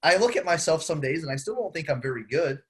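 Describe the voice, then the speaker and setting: A young man co-hosts a casual sports podcast, explaining his views at length in an animated way. His pitch moves a lot and sits a little high. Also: fast talker, husky. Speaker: podcast co-host.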